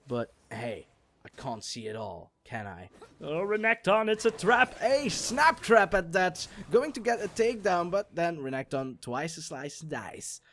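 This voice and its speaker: A young man from Belgium, an English speaker, doing jokey solo commentary on a game replay and getting loud on exciting plays. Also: fairly high pitch. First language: not English. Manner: jokey, self-mocking, rises sharply on exciting plays. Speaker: esports shoutcaster.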